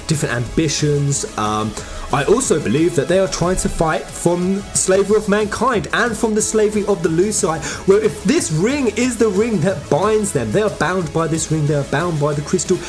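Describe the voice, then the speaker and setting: An animated host delivers fan theory commentary with music playing behind. The mid-range voice swings widely in pitch. The delivery is informal, emphatic and full of exclamation. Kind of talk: excited fan theory commentary over game footage.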